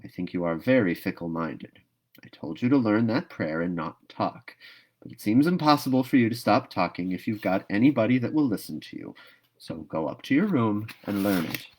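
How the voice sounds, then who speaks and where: Man reading as a low, stern older woman. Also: animated, rough recording. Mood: stern, impatient. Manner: dry, brisk, disapproving. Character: stern, dry, practical older female guardian. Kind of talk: storybook read-aloud.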